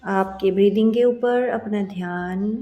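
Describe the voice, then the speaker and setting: A woman leading a guided meditation as a yoga instructor, soothing and gently instructing with long pauses. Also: medium pitch, highs cut off. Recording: roomy echo. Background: soft music.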